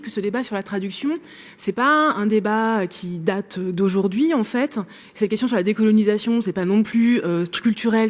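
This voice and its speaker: A woman's voice: mid-range voice, darkish tone. A woman making a long academic remark in a workshop discussion, hesitant with many fillers but building long argued sentences. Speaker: workshop participant.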